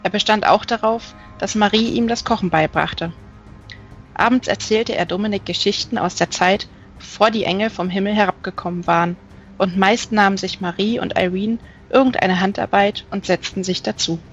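A woman reading literary narration as an audiobook, measured and careful. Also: mid-range voice, dull audio. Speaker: audiobook reader. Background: music.

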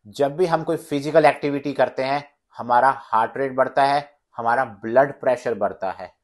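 A man, explaining things to viewers in an earnest, instructive way as a fitness vlogger. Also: mid-range voice. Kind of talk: explainer to camera.

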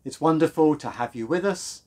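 A British man in his fifties leading a home church service as minister, with reverent, measured and deliberate phrasing. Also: a little high, lively intonation, warm tone. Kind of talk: sermon and prayer, reverent delivery. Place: small room at home, indoors.

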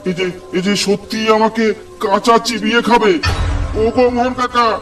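Man playing a high-voiced, stubborn young fisherman. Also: fairly even intonation, moderate pace, fairly bright tone, faint music behind. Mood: terrified. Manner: argumentative, grumbling. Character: stubborn, hot-headed young village fisherman, cocky yet jumpy. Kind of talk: Bengali cartoon horror-comedy dialogue.

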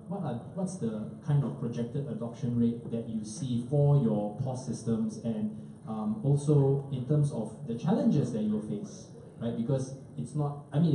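Guy moderating a panel, super mellow dark voice, bit low, loads of echo. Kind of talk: informal hosting, questioning. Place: large event room with dark wall, indoors. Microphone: handheld mic into PA, picked up by far camera. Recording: very echoey room, distant mic, faint noise.

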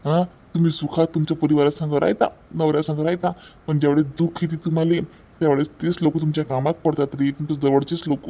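A man's voice: fairly high pitch, little pitch movement, quick pace, mellow. A man voicing an older family patriarch in a cartoon drama, fond and folksy, preaching with rhetorical questions in a Varhadi Marathi accent. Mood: fond, moralising. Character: older patriarch father-in-law, fond, gruffly moralising.

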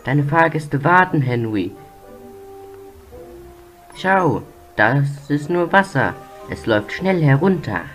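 A man's voice: fairly high pitch, sing-song, slow pace, pauses often, fairly bright tone, faint music behind, heavily acted. A man voicing an eager, earnest young male steam engine in a cartoon dub. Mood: urging. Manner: pleading, earnest.

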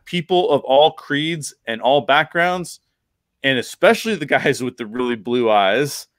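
A man's voice: medium pitch, animated, measured pace, fairly bright tone, dry recording. Male podcast host, forties, casual and emphatic explainer, close mic, dry sound. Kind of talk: casual film discussion. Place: bedroom at home. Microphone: large microphone close to the mouth.

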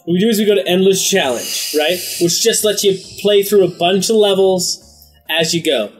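A young man hosting a casual game let's play, excitable, laughing often and swearing freely. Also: sits high, normal speed, husky, faint music behind, rough recording. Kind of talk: casual gaming chat.

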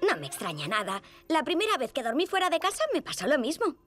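A woman voicing a brisk, supportive young girl superhero in a cartoon dub. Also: high-pitched, wildly swooping pitch, a bit bright, soft background music, full character voice. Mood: sympathetic.

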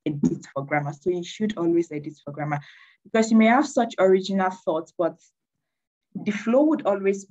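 A woman's voice: fairly low pitch, a little mellow. A woman whose first language is Yoruba gives earnest study advice as a guest speaker on a video call, repeating her key points. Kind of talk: webinar advice talk. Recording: dull lo-fi video call audio.

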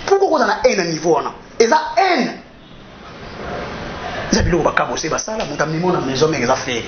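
Animated, high-pitched young Congolese man. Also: fast, noisy background. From Democratic Republic of the Congo. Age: young adult. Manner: emphatic, repetitive, argumentative. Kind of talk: animated casual debate. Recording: dull, roomy sound, voices around.

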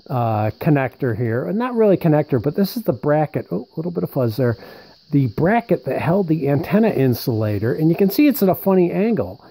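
Guy doing a casual hands-on walkthrough, mellow relaxed voice, kinda rambly, thinking out loud. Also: a little high, creaky. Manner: rambling, conversational, thinking aloud. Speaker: presenter showing vintage military radio equipment. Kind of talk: casual unscripted show-and-tell narration.

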